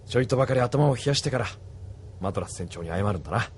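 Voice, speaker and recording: A man playing a blunt, rough-talking pilot comrade. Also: mid-range voice, lively intonation, fast talker, slight background noise, natural voice. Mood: frank. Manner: blunt, rough casual speech.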